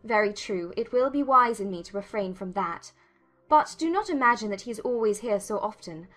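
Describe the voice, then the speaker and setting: A woman playing a witty, spirited young gentlewoman in an audiobook drama, expressive and articulate, teasing yet sincere. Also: medium pitch, a little fast, soft background music. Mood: conceding, sincere.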